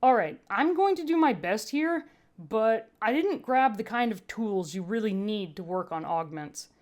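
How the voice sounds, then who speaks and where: A woman voicing a gruff, weary human medic with a blunt, emphatic, argumentative way of talking, in a story reading. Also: mid-range voice, animated, a little slow, a little mellow, voice pushed up, natural voice. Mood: weary, resolved.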